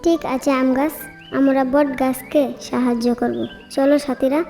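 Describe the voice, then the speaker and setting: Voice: high voice, steady tone, measured pace, gravelly, dark tone, soft background music. A performer voicing kindly, polite talking nature characters, a queen bee and a mango tree, in a children's fairy tale cartoon. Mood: urgent, earnest.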